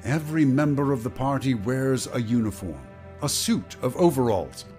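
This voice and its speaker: An actor playing a grave, knowing voice in a radio drama, speaking deliberately and theatrically. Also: male voice, medium pitch, sing-song, darkish tone, faint music behind, close to an everyday voice. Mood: grave, declaiming. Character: grave narrator and needling, knowing inner voice. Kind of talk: dramatic radio play narration and acting.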